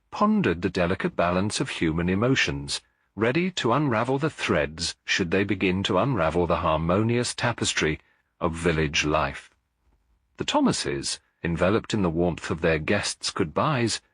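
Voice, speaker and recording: Man, audiobook narrator, cozy mystery, husky voice, measured literary delivery, dry close sound. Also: low voice, a bit bright, dry recording.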